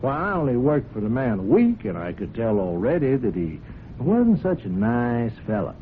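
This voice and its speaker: A man's voice: mid-range voice, very animated, normal speed, lightly acted. Sly folksy blackmailer played by a man, swooping delivery. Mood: sly, accusing. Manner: insinuating, falsely amiable, chuckling, hesitant phrasing. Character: sly, folksy male horse-farm hand turned blackmailer. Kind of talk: radio drama acting. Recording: muffled, noisy old radio broadcast recording.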